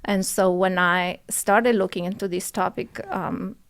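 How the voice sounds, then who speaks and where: Soft-spoken woman, gravelly edge. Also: mid-range voice, steady tone, measured pace. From Romania. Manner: soft-spoken, many ums, hesitant. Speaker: podcast guest, nurse. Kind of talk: interview answers. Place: studio.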